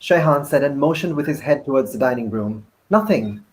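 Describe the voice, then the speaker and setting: A man, an actor, narrating a first-person novel in a measured, deliberate dramatic reading. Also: medium pitch, lively intonation, low-quality recording.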